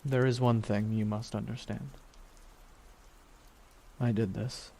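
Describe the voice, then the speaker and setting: A man acting a weary, regretful supervillain in an ASMR audio roleplay, speaking slowly and reflectively with pauses. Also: medium pitch, steady tone, creaky, mellow. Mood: regretful, resigned. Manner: slow, reflective, pausing delivery. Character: weary, regretful male supervillain beside his dying nemesis.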